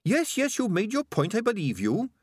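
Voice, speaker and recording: An excitable little rat underling from a storybook, pitched far above a normal speaking voice. He is insistent and anxious, deferential yet exasperated, in a close, dry recording. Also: moderate pace, slightly rough, dry recording, heavily acted.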